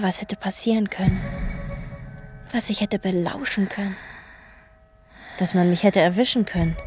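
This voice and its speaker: An actress playing a withdrawn, obsessive hotel chambermaid in a radio play, speaking in calm, terse sentences. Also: female voice, mid-range voice, natural voice. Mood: brooding. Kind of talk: radio play, inner monologue and dialogue.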